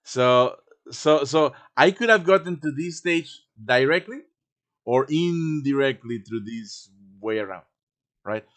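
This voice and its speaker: A man's voice: medium pitch, animated, takes it slowly, a bit bright. A man with a chatty manner hosting a casual live tutorial, often checking in with his listeners as he teaches. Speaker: host teaching game quest design. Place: live stream over online voice chat.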